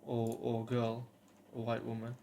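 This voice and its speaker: A man's voice: mid-range voice, monotone, measured pace, creaky, warm tone. A British man in his twenties talking casually and earnestly to camera, hesitant and rambling, with faint background noise. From United Kingdom. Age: 20s. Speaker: vlogger.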